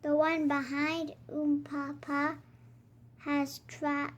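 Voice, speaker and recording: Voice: sits high, monotone, very slow, muffled recording. A child reading a rhyming picture book aloud in a sing-song, rhythmic way, as host of a reading channel. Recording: clear background noise.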